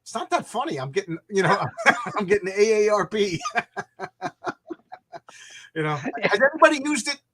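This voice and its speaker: A man in his fifties with a slightly high voice hosts a casual live chat. He rambles from thought to thought and tells anecdotes with a joking, self-deprecating streak. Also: big pitch swings, moderate pace, long pauses, fairly bright tone, slightly rough. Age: 50s. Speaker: live stream host chatting about comics.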